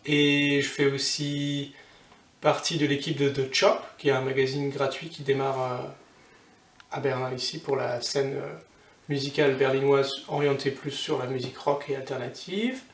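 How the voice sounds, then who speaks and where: A man's voice: mid-range voice, flat delivery, moderate pace. A French man in his twenties answering interview questions casually. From France. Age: 20s. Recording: roomy, distant.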